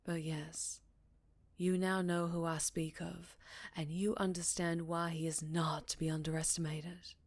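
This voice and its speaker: A woman playing a centuries-old vampire leader, speaking softly and breathily in an intimate ASMR roleplay. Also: on the low side, flat delivery, no echo. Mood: grave, cautious. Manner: soft, breathy, measured, with whispered phrases. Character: centuries-old female vampire town leader, secretive, wistful, tender.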